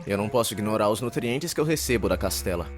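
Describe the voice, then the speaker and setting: A man's voice: lowish voice, expressive, fast, over music, close to an everyday voice. A young man with a Brazilian accent playing a neurotic, wordy university shut-in narrating his inner monologue in a dubbed anime. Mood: musing. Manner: wordy, pompous, theatrical.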